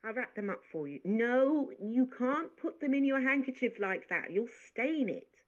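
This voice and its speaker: A woman's voice: fairly high pitch, animated, unhurried, voice pushed up. A woman putting on a chatty bossy maid. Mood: scolding. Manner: bossy, teasing, talkative. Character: chatty good-natured maid, adult woman. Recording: dull lo-fi recording.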